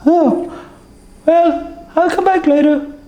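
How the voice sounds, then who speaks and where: A man voicing a polite, cheery female puppet neighbour in a shrill falsetto for a puppet show. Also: fairly even intonation, takes it slowly, roomy sound, lo-fi sound.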